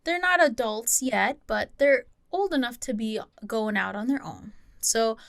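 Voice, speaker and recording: A woman's voice: on the high side, a little slow, gravelly, fairly bright tone, no room sound, clean studio sound. A woman co-hosting a podcast, telling a story in a casual, informal way with chatty asides. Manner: informal, giggly, self-interrupting asides.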